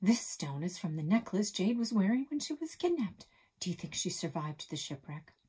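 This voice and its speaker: A woman voicing a bold, excitable girl treasure hunter who talks in a raised, eager voice. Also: on the low side, moderate pace, husky, exaggerated voice.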